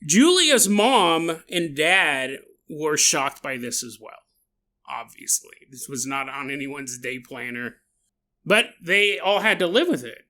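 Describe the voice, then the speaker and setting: A male podcast host tells an odd story with a playful, joking manner, laughing often. His voice is bright and sharp. His pitch swings up and down, so the telling sounds animated. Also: slow. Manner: jokey, laughs often, acts out side remarks. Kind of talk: comedic paranormal storytelling.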